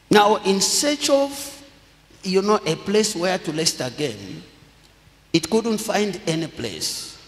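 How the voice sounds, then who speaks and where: A middle-aged man from Zambia, preaching a sermon slowly and deliberately into a handheld microphone, leading the congregation in prompts. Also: high-pitched. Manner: slow, deliberate preaching with call-and-response prompts. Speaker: pastor. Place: large church hall with congregation. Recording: long hall reverb.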